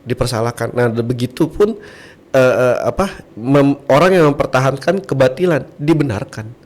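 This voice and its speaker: A man's voice: mid-range voice, animated, normal speed, darkish tone. A man from Bali, apparently middle-aged, talking as a podcast guest and preacher, telling stories with rhetorical questions. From Bali, Indonesia. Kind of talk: conversational religious podcast talk.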